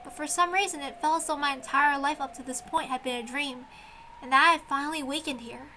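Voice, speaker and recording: A woman reading a visual novel aloud as expressive story narration. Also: high voice, fast talker, a little hiss or hum.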